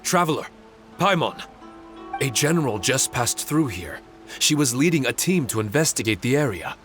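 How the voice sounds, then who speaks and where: Forgetful earnest spirit construct, normal pitch, game music under it. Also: male voice, very animated, moderate pace, a bit bright. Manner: searching and hesitant when recalling. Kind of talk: game cutscene voice acting.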